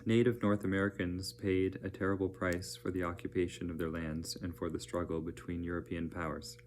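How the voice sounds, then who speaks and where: A man reading educational narration aloud as a teacher, in a measured, plain-spoken manner. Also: low-pitched, level tone, a little hiss or hum.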